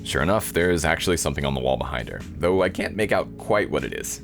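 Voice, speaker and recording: A man voicing a jaded, sardonic newcomer protagonist in a visual novel, deadpan and unimpressed. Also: rumbling low, quick pace, vocal fry, soft background music, exaggerated voice.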